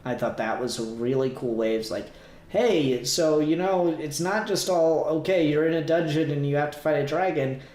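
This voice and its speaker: A man's voice: on the high side, normal speed, darkish tone. Rambling man in an echoey room. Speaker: podcast co-host. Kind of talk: casual review discussion. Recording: roomy echo, clear background noise.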